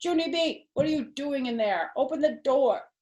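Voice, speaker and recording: A woman's voice: high-pitched, expressive, moderate pace, darkish tone, lo-fi sound, pitched above the performer's own voice. A woman voicing a firm but reassuring mother in a children's storybook, with a parental, instructive manner. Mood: stern. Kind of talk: children's storybook character reading.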